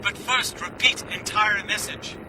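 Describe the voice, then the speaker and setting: High-voiced, authoritative flight controller, noisy background. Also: male voice. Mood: firm, instructing. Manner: deliberate, emphatic, formal. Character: authoritative male flight controller giving careful emergency instructions. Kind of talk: radio drama acting. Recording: noisy, with aircraft rumble.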